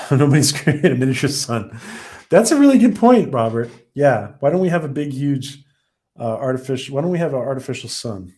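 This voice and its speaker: Man on a live stream, rambling, halting, voice fading, mid pitch. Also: sing-song, a little mellow. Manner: rambling, halting, many fillers and restarts. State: losing his voice. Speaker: live-stream host reviewing a TV episode. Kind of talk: casual live-stream chat reading viewer comments.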